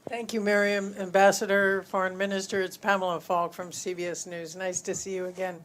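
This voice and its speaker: A woman's voice: mid-range voice, little pitch movement, brisk, a bit bright. A woman, a news reporter putting a question at a press conference.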